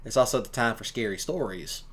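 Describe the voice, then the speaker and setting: Guy just rambling along, folksy streamer talk, medium pitched voice. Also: expressive, a little fast, fairly bright tone, husky. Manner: folksy and colloquial. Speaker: streamer and ASMR audio creator. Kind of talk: casual rambling commentary.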